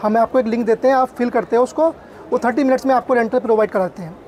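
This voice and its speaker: Fast-talking salesman in a busy hall. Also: extremely high pitch, a little mellow. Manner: quick, repetitive, listing products and prices. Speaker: camera shop salesman. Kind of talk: sales pitch in an interview. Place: busy indoor exhibition hall.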